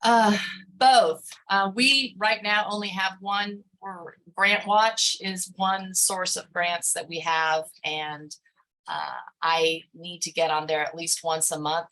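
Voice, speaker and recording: A woman speaks on a video call as a panelist, her voice bright and forward while sitting a little low in pitch. She talks in a halting way, filling gaps with frequent ums and uhs. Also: fairly even intonation, rough recording. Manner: hesitant, many fillers. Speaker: grant writer, panelist.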